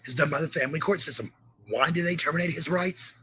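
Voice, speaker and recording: A woman's voice: sits low, fast talker, a crowd in the background. A middle-aged woman, combative and profane as she rants on a livestream. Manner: confrontational, profane. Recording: noisy livestream audio.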